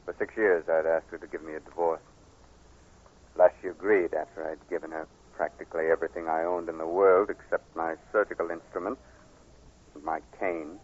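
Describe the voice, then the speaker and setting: A solemn older surgeon in an old radio play, really low voice, muffled recording. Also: male voice, steady tone, a little mellow. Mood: solemn confession. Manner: measured, solemn. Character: grave older male surgeon, a guilty but principled murderer. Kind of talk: 1940s radio acting.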